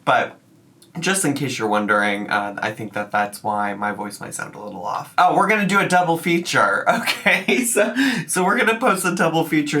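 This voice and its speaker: A man chats through a reaction video in a bright, forceful voice. It is a little raspy while he gets over an illness. He keeps dropping sarcastic asides between comments. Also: medium pitch. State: slightly raspy, recovering from illness. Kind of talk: casual commentary.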